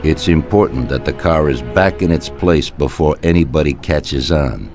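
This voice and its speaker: An actor playing an older Italian-American mafia boss in a video game, speaking in a deliberate, colloquial, authoritative way. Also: male voice, very deep voice, over music. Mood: calm, commanding. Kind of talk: video game cutscene dialogue.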